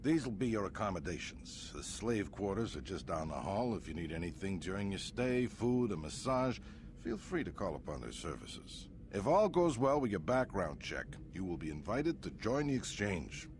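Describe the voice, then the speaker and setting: An actor playing a smug, imperious crime boss in a video game, with a pompous, suavely threatening manner. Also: male voice, low-pitched, very animated, moderate pace, background noise. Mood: gracious menace. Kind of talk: dramatic video game acting.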